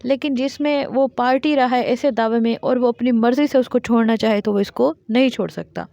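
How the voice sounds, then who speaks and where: A woman teaching law, reading each statute out slowly, word by word, and then explaining it in plain terms. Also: fairly high pitch, vocal fry, mellow, no room sound. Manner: reads English legal text slowly word by word, then explains in Urdu. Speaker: law lecturer.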